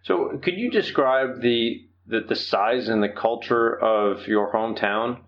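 Podcast host, male, American accent, orderly and explanatory, average pitch, a little muffled on the recording. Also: unhurried, slight background noise. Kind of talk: interview hosting, prepared introduction. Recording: slightly dull, lo-fi podcast audio.

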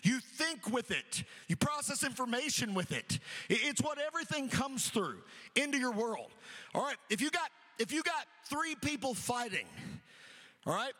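A middle-aged man preaching an energetic sermon, repeating phrases rhythmically and calling on the congregation to answer. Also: very high-pitched, normal speed, big-room sound. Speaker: pastor. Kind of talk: energetic sermon with call-and-response.

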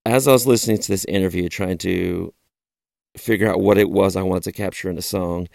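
A man's voice: low voice, fairly even intonation, fairly quick, gravelly, darkish tone, no room sound, studio quality. A man hosting a podcast, talking to listeners as its host and songwriter.

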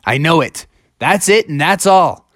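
A storyteller voicing an excitable male adventurer, exclaiming with dramatic wonder. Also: mid-range voice, a little slow, no room sound, studio quality. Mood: amazed, curious.

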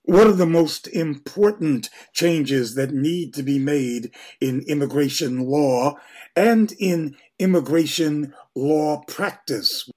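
A man co-hosting a radio show, asking questions calmly and deliberately. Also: on the high side. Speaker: radio co-host interviewer. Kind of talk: radio interview.

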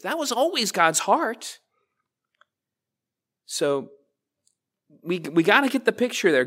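A man's voice: high voice, fairly bright tone. Male pastor, conversational sermon delivery, addresses congregation directly. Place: church.

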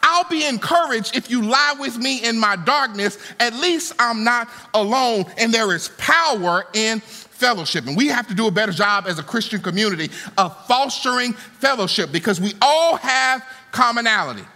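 A man preaching a sermon to a congregation, swinging from soaring lines to low, conversational asides. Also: expressive, normal speed, pushed and bright. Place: church auditorium. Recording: long hall reverb.

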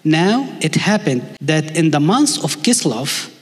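Egyptian-accented pastor preaching in a hall. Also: male voice, fairly high pitch, very animated, a little slow. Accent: Egyptian Arabic. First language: Arabic. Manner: playful, jokes with the congregation. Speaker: visiting pastor. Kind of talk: sermon. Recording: long hall reverb.

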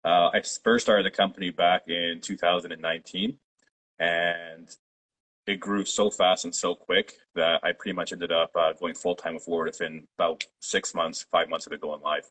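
Low husky-voiced man. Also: normal speed, low-quality recording. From Canada. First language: Polish. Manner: steady, detailed storytelling. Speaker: guest, company founder. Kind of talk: interview.

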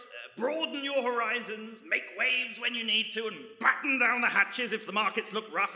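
A jovial ship's captain for a comic radio play, piercingly bright and echoing in a live hall. He blusters and stammers hesitantly, rambling fussily in a high register. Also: heavily acted. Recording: echoey hall, live stage recording.

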